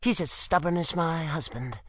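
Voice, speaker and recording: A man voicing a sharp-tongued older woman who scolds her husband in an audiobook. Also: a little high, normal speed, no echo, clean studio sound, pitched above the performer's own voice. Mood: exasperated scolding. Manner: exasperated, scolding. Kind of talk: audiobook narration.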